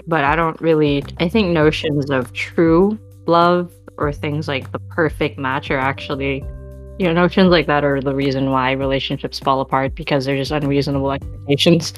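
A woman's voice: low-pitched, fairly even intonation, normal speed, faint music behind. A young woman in her twenties, a podcast guest, speaking in a thoughtful, wry way. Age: 20s. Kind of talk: casual chat.

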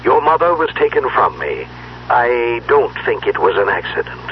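A man playing a theatrical magician patriarch in a 1970s radio drama, speaking in grandiose, deliberate, formal phrases. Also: very animated, natural voice. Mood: solemn, tender. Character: dead magician patriarch, theatrical, speaking through a recorded will. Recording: dull noisy old radio recording, low hum.

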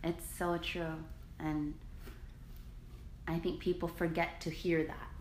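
A woman in casual conversation, talking in a supportive, thoughtful way with many fillers and pauses. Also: deep voice, little pitch movement. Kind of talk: casual chat. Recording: echoey room with faint noise.

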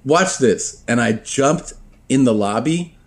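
Man, casual podcast guest, profane and animated, keeps laughing through his stories. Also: mid-range voice, takes it slowly. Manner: profane, animated storyteller who laughs often. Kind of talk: casual storytelling.

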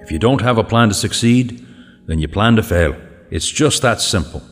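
A man acts out a wise old Irish father for a radio segment, firm and fatherly. He instructs in plain words, his voice washed in long reverb with soft music underneath. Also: fairly low pitch, expressive, normal speed, close to an everyday voice. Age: older. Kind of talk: scripted remembered advice in a radio segment.

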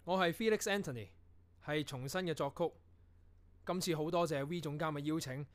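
A man's voice: fairly high pitch, natural voice. A man acting in a Cantonese radio drama as a young, reflective composer, with a Hong Kong accent and an earnest, literary delivery. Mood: grateful, formal. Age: young adult. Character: young male avant-garde composer, reflective and restlessly inspired.

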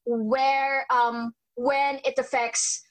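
A woman's voice: high-pitched, little pitch movement, very slow. A woman on a panel speaking reflectively and hesitantly in a casual chat, with many fillers and restarts.

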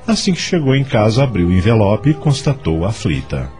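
A man's voice: on the low side, big pitch swings, fairly quick, over music. A man narrating a radio soap opera in scripted storytelling style.

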